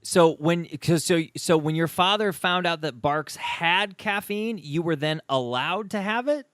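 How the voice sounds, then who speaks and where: A man from the United States hosts a conversational podcast. His voice sits a little high and is recorded dry and close, with little room sound. He talks in an opinionated, emphatic manner. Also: a little slow, a bit bright, clean tone, no echo. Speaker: podcast host. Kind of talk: conversational interview.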